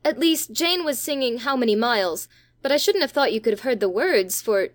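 A woman plays an eager young boy from a storybook, blunt and good-natured. The voice is fairly high and has a brash schoolboy ring. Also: animated, fairly quick, lightly acted. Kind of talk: dramatized children's audiobook.

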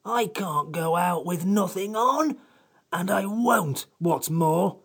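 A cross, pompous old sand fairy creature in an audiobook. The voice is raised well above his own, gravelly and husky, and drags every word out slowly with withering scorn. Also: sits high, lively intonation, fairly bright tone, pitched above the performer's own voice, full character voice. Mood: huffy. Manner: slow, withering, pompous drawl. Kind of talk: audiobook character voice.

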